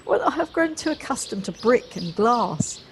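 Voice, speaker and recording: A woman's voice: a little high, sing-song, a little slow. An actress playing a polite, wistful city woman in an audio play, reflective and a little hesitant. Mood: wistful wonder. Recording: home device recording, light background noise.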